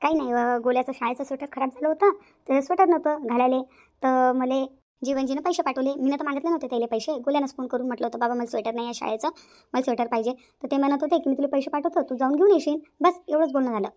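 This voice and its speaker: A woman's voice: sits high, rapid-fire, dark tone, natural voice. A woman playing a meek, long-suffering elder daughter-in-law with a Varhadi accent in a cartoon family drama. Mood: aggrieved. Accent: rural Maharashtra, Varhadi. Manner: timid, plaintive, conflict-avoiding.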